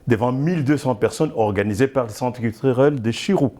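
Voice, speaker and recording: A man in his fifties from the Democratic Republic of the Congo, a guest talking about his acting career in a relaxed interview, often chuckling as he tells his story. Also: medium pitch. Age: 50s. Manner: chuckles often, speaks in measured storytelling phrases. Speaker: actor and theatre director, guest.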